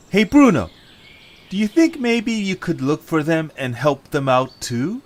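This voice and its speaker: A man playing an eager, hopeful young adventurer in an amateur audio drama, with excitable, expressive delivery. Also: on the high side, slow. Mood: earnest. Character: eager, hopeful young male adventurer leading his friends.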